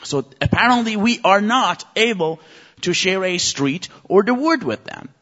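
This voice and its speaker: A man's voice: high-pitched, animated, measured pace, fairly bright tone. A man from Iran, a native Persian speaker, preaching an impassioned sermon with vivid stories. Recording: muffled recording with long reverb.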